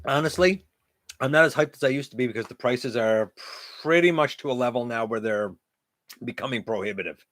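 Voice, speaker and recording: A middle-aged man with a loud, carrying voice hosts a casual livestream, chatting and answering viewers' comments. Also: mid-range voice, a little fast, no room sound. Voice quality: loud voice that carries. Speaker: livestream host; teacher. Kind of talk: casual live-stream chat with viewers.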